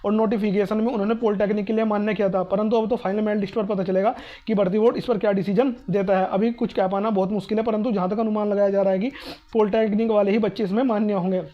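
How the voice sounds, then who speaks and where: A man's voice: high voice, flat delivery, quick pace, lo-fi sound. A man with a North Indian accent giving informal, explanatory advice to students, repeating his points, reassuring listeners and asking questions that he answers himself. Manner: repetitive, reassuring, asks and answers own questions. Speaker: exam-advice video host.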